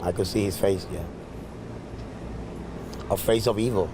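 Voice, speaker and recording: A man's voice: lowish voice, a little slow, very noisy. A man who saw the gunman speaking in an angry, defiant way in an outdoor interview. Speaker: eyewitness.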